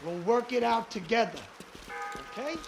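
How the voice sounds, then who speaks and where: A man's voice: very high voice, normal speed, creaky. An actor voicing a goon who argues with his partner about splitting a bounty. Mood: wheedling. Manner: pleading, wheedling. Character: bickering male bounty hunter goon.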